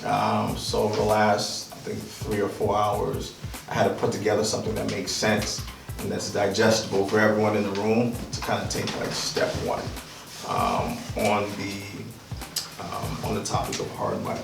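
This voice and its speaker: A man's voice: lowish voice, normal speed, vocal fry, over music. A man from Liberia in his thirties presenting an informal real estate workshop, talking conversationally with lots of "right?" and "um". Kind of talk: informal seminar talk. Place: room in a house, with a seated audience. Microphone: far camera. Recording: echoey room, picked up from a distance.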